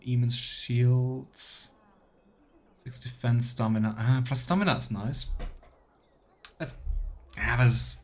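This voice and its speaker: A man giving casual let's play commentary as a streamer, halting and murmuring to himself as he thinks aloud. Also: mid-range voice, darkish tone. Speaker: streamer playing a video game. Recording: clear background noise, keyboard clicks.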